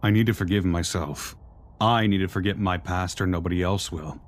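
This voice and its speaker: Man acting a low-voiced, weary ex-soldier. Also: moderate pace, lightly acted. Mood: reflective, resolute. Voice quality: low-pitched. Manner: earnest, reflective. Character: earnest, world-weary male ex-soldier outcast. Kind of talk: video game voice acting.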